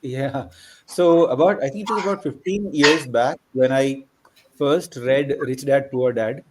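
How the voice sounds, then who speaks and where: A middle-aged man, chatting reflectively and haltingly as a panel guest. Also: medium pitch, noisy background. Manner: reflective, peppers talk with "you know". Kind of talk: casual chat.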